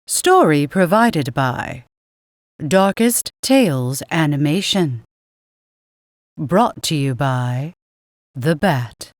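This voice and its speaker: A woman's voice: low-pitched, animated, very slow, long pauses, no echo, studio quality. A woman announcer reading a scripted introduction in old-time radio show style.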